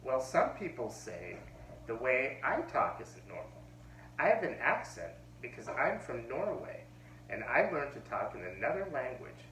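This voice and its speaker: A man voicing a friendly waitress from abroad in a children's book read-aloud, in a gentle storybook manner. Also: medium pitch, sing-song, unhurried. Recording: roomy echo, far from the mic, faint hum.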